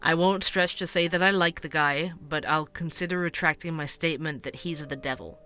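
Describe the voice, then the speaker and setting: Male true-crime podcaster: higher-pitched, bright, wry and candid, conversational, faint music underneath. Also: steady tone, normal speed. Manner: conversational, wry, hesitant asides. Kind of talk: fictional podcast narration and dialogue.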